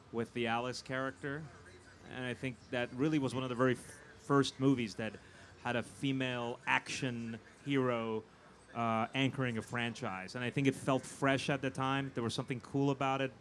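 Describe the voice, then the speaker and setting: Male panel guest, producer type. Medium pitch, conversational, emphatic, repeats himself for weight. Faint live-event background noise. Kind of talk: conversational panel interview answers.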